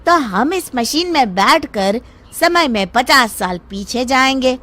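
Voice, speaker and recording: Woman as high-pitched, greedy, cowardly young man. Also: takes it slowly, heavily acted. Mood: wheedling, scoffing. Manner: excitable, wheedling, pleading. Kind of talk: cartoon story dialogue.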